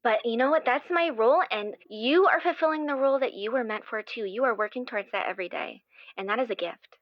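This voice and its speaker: Earnest, effusive female playwright acted by a woman, improvised interview drama, higher register, slightly dull recording. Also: quick pace, no room sound, lightly acted. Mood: gushing, devoted. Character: earnest devout woman playwright, gushing, anxious to please. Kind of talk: improvised actual-play interview acting.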